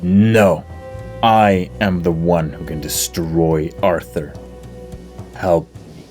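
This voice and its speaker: An actor playing a grim, defiant undead knight in a fantasy game, speaking in a measured, solemn manner. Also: male voice, low voice, steady tone. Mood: resolute. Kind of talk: fantasy RPG voice acting. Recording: game dialogue over loud music.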